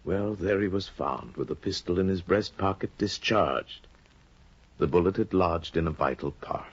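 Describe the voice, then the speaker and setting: A sly, deep-voiced judge. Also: male voice, normal speed. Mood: insinuating. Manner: smooth, probing, quietly menacing. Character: sly insinuating middle-aged male judge. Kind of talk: 1950s radio drama acting. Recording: dull lo-fi 1950 radio broadcast.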